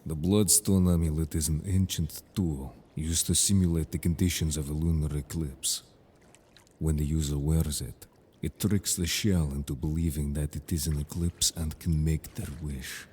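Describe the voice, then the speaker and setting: A man playing a calm, confident, protective merfolk in an audio roleplay drama, speaking with measured, formal phrasing. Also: very deep voice, slightly rough.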